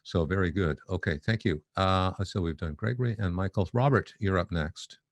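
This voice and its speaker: A man's voice: low-pitched, moderate pace, dry recording. A man teaching a course on a video call who chats casually and affirms his trainees. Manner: friendly, affirming with short replies. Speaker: course instructor. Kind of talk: casual class talk.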